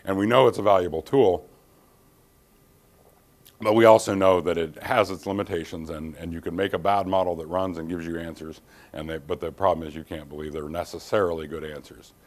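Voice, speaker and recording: A middle-aged man with a deep voice presents an engineering keynote in a darkened conference hall. He speaks in a measured, careful way, pausing on ums and restarting phrases as he explains each slide. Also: steady tone. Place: dim conference hall, standing by projector screen.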